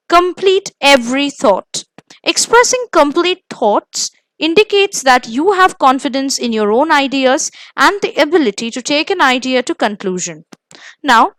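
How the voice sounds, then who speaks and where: A woman with an Indian accent presenting a scripted instructional lesson as host, with deliberate, emphatic phrasing. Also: high-pitched, fairly bright tone, no echo, poor audio. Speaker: host of an exam coaching video.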